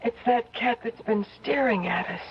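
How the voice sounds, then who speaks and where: Nervous fugitive, dull vintage sound. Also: female voice, on the high side, normal speed, husky. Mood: uneasy. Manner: anxious, pleading. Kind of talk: old-time radio drama acting. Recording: dull, noisy old radio recording.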